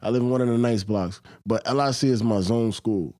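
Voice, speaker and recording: A young man from Queens, co-hosting a casual podcast chat, animated and swearing, often repeating words as he argues. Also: medium pitch, a little fast, dry recording, studio quality. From Queens, New York. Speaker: podcast co-host.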